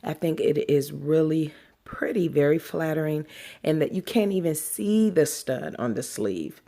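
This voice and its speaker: A woman sewing vlogger chatting casually to her viewers, a little nasal from a cold, unhurried with many fillers and drawn-out emphasis. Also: low-pitched, animated, warm tone. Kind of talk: casual chat to camera.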